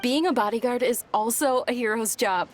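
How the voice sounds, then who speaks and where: A woman's voice: a little high, moderate pace, natural voice. A woman playing a kind, refined young lady in a video game, polite and composed. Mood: kindly. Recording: music behind the voice.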